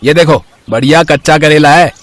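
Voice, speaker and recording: A man's voice: fairly high pitch, normal speed, no room sound, close to an everyday voice. A man voicing an eager, coaxing street vegetable seller in a story. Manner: salesman-like, coaxing.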